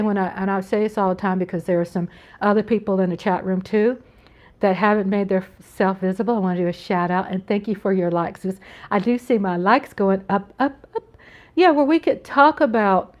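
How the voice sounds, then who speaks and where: An American woman hosting a casual live-stream chat from home, talking in an earnest, rambling way with frequent restarts. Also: medium pitch. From United States. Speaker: channel host.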